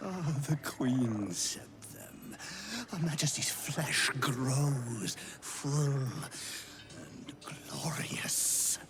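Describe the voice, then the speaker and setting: Fanatical, wildly swooping spider creature. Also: male voice, medium pitch, animated, drawn-out words, heavy background noise. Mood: rapturous. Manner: reverent, muttering, lapsing into whispers and sudden cries. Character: fanatical drider, half-spider zealot worshipping his queen.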